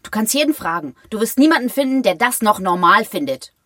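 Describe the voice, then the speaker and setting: A woman's voice: mid-range voice, very animated, fairly quick, pushed and bright, no room sound, studio quality. An actress playing a down-to-earth, exasperated woman in a radio drama, blunt and reproachful. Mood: exasperated, weary. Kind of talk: German radio drama acting.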